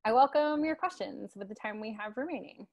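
A woman's voice: a little high, a little fast. A young woman from Tennessee giving a guest lecture over a video call on an earbud headset, often filling with ums and adding "right?" to her points. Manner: frequent ums and tag questions like "right?".

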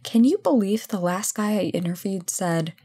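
A woman playing a brisk, playful company boss in an audio roleplay job interview, chatty and full of wry asides. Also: mid-range voice, expressive, dark tone, slightly rough. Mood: wry, friendly. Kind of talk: ASMR roleplay, one-sided conversation.

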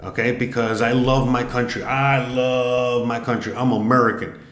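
A man's voice: mid-range voice, fairly even intonation, moderate pace, heavy background noise. A man, musing aloud in a rambling, filler-heavy way while taking an online quiz on a desk webcam. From Miami, Florida, US. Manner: rambling, many fillers and restarts. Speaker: vlogger. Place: home room, indoors. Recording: echoey room.

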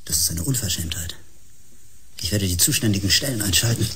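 An actor playing a defensive, indignant professor in a science-fiction radio drama, formal and affronted. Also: male voice, low-pitched, animated, fairly quick, background noise.

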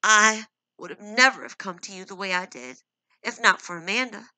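A woman's voice: mid-range voice, lively intonation, moderate pace, pushed and bright, no room sound, voice pushed up, rough recording. A woman voicing a hurt, defiant young wife who speaks in a strained, rising voice, close to tears.

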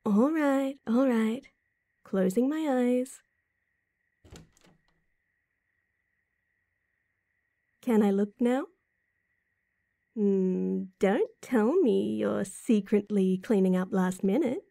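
A woman's voice: mid-range voice, takes it slowly, mellow, dry recording. A woman playing a sweet, supportive, teasing girlfriend in an intimate roleplay audio drama, talking affectionately to the listener. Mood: playful, curious. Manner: affectionate, teasing, breathy chuckles and sighs. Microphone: close mic, dry.

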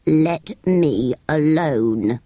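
A woman voicing a grumpy, haughty ancient furry sand fairy in a dry, husky, slow and pompous way for a storybook. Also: sits low, expressive, dark tone, no echo, voice pushed down, heavily acted. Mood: indignant.

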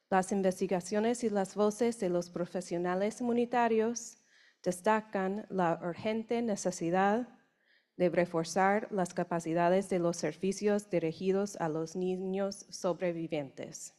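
A woman in her forties, a non-native speaker, introducing panelists at a conference with a handheld mic, halting and hesitant. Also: medium pitch, dark tone. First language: English. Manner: hesitant, halting, slow with restarts. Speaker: session moderator. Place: conference room on stage.

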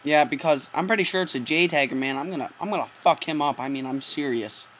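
A man's voice: on the high side, fairly quick, fairly bright tone. A teenage male making a casual prank call, keeping a straight, earnest delivery, picked up by a laptop mic. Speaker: prank caller. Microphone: laptop mic, close. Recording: noisy laptop microphone recording.

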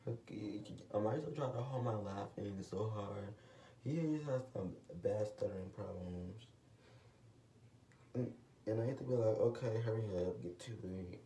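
A man's voice: lowish voice, normal speed, dark tone, recorded from a distance, a little hiss or hum. A teenage boy vlogging at home, talking casually to the camera in a rambling way, with a stutter that brings restarts and lots of fillers. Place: small room indoors, at home. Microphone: camera set low, below face. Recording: roomy echo, voice off-mic.

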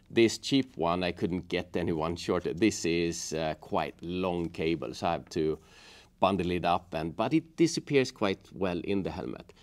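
A middle-aged man giving a casual hands-on tutorial, explaining step by step in a halting way. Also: fairly low pitch, a little mellow. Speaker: host of a how-to motorcycle gear channel. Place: indoors, office workshop room at a table.

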